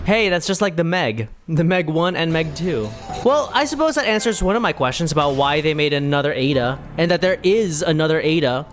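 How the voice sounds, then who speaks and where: A man's voice: a little high, expressive, a little fast, few pauses, faint music behind. A man giving casual, playful commentary as he plays a video game, often repeating his phrases. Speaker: gaming streamer playing and reacting.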